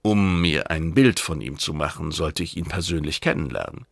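A man's voice: rumbling low, expressive, normal speed, creaky, no room sound, clean studio sound, pitched below the performer's own voice, full character voice. A man voicing a cautious, deferential alien oracle page in a science fiction audiobook, speaking in a subdued, careful way. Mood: calculating.

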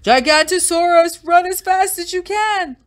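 A woman's voice: on the high side, sing-song, measured pace, fairly bright tone, pitched above the performer's own voice. A woman voicing a boastful young boy dinosaur trickster in a storybook, with theatrical, exclaiming delivery. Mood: shouted alarm.

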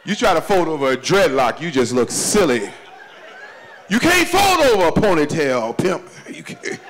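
A man in his forties from doing stand-up comedy at a church celebration, swinging between loud high exclamations and low confiding asides. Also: very animated, normal speed. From Chicago, USA. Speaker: comedian pastor. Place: banquet hall at a church event. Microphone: handheld microphone. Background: audience laughter.

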